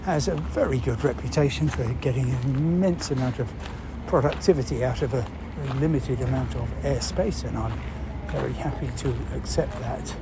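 A man explains a news story in unscripted, halting talk, thinking aloud and posing rhetorical questions. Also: medium pitch. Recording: clear background noise.

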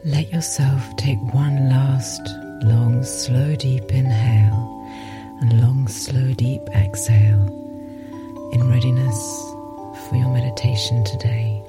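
A woman with a soft, breathy voice guides a meditation in a soothing way, pausing at length between phrases. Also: a bass voice, fairly even intonation, over loud music. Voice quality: soft, breathy, sometimes near a whisper. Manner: slow, soothing, with long pauses. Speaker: meditation podcast host and guide.

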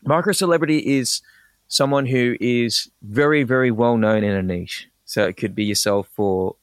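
A man with an Australian accent, a podcast guest explaining ideas in quick, enthusiastic bursts with frequent restarts. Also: mid-range voice, no room sound. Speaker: guest, personal branding expert. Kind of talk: casual podcast interview.

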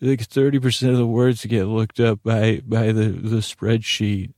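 A man hosting a bedtime podcast in a meandering monologue, halting and interrupting himself as he drifts into tangents. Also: fairly low pitch, level tone, darkish tone, no room sound, studio quality. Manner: rambling, halting, self-interrupting with trailing tangents. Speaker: podcast host.

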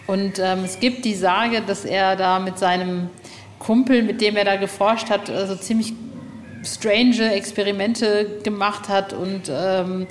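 A woman's voice: mid-range voice, steady tone, a little slow. Woman interviewer in an echoey hall. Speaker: podcast interviewer. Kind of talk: casual interview chat. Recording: echoey hall, faint ambient noise.

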